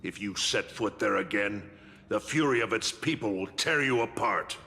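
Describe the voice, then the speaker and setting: A stern fantasy game guard, low and sharp-edged, ringing with long reverb. He declaims formally and with commanding menace. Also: moderate pace, gravelly. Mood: threatening. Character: stern, commanding male city guard, menacing toward enemies. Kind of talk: dramatic fantasy game voice acting.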